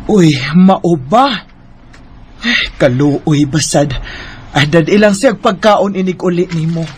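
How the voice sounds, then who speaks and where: A slow, doting middle-aged mother. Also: fairly low pitch, expressive, husky, heavily acted. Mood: concerned. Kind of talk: radio drama acting.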